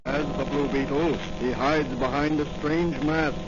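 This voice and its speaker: A man's voice: a little high, fairly even intonation, unhurried. A man declaiming a dramatic scripted introduction as a 1940s radio announcer. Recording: muffled 1940 radio recording. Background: loud music.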